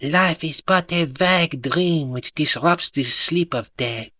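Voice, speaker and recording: A man's voice: on the high side, slow, bright, forceful tone, dry recording, clean studio sound, heavily acted. A man voicing a gloomy, world-weary French existentialist woman with a smoky murmur and French accent. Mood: listless.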